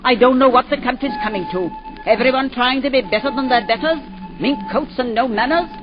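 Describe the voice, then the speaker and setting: A snobbish older lady of the manor, high-pitched and imperious, in a 1940s radio drama. She waves off everyone beneath her. The sound is muffled like an old broadcast, with music under it. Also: big pitch swings, a little fast. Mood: haughty. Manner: imperious, dismissive, complaining. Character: haughty older aristocratic English lady, snobbish.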